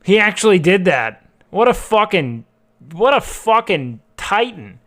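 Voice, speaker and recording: A man's voice: sits high, sing-song, measured pace, fairly bright tone. A man streaming live, reacting to videos with blunt, profane asides.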